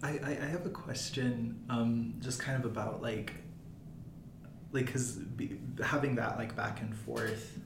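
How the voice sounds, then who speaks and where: A man's voice: medium pitch, fairly even intonation, a little slow, gravelly. A young man hosting a student podcast, chatty and friendly with lots of fillers, wearing headphones at a mic. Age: young adult. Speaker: podcast host. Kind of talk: casual student podcast chat. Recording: echoey room, faint noise.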